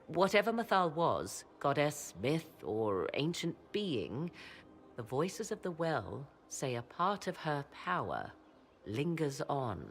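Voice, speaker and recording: A woman's voice: sits low, very animated, heavily acted. A woman playing a proud, learned witch in a video game, speaking in formal, archaic, mysterious phrases. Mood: measured, urging. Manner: archaic, measured, theatrical phrasing. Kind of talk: video game cutscene acting.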